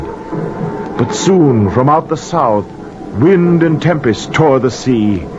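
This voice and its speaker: Haunted old seafarer, incantatory and insistent, unhurried delivery, vintage muffled radio drama recording. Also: male voice, on the low side, slight background noise. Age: older. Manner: intense, poetic, incantatory storytelling. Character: haunted, cursed old mariner urgently compelling strangers to hear. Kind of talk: 1940s radio drama acting.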